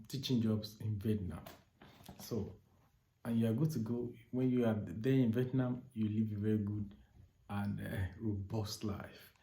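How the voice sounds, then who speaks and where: A man's voice: mid-range voice, warm tone. A Nigerian man giving enthusiastic, informal advice as a recruitment agent, often repeating words and restarting his sentences. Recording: echoey room.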